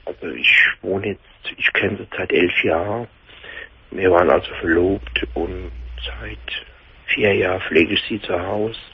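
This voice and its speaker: A man in his forties with a Palatinate German accent, calling a late-night radio show, talking in a halting, dazed way full of restarts. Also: sits low, steady tone, breathy edge. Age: 40s. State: shaken, in shock. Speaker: caller. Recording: dull lo-fi phone-in audio.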